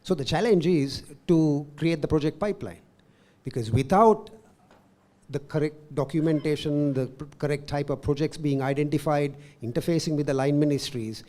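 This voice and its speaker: A man's voice: a little high, moderate pace, dark tone. A man giving a policy presentation at a workshop in an urgent, emphatic way. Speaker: workshop speaker.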